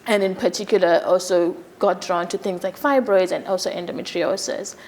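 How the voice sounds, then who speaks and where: A woman surgeon from Zimbabwe in her thirties, giving a TV interview in a soft, hesitant way with many fillers. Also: fairly low pitch, steady tone, normal speed, a little hiss or hum, low-quality recording. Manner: soft-spoken, many fillers, laughs easily. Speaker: gynecologic surgeon, guest.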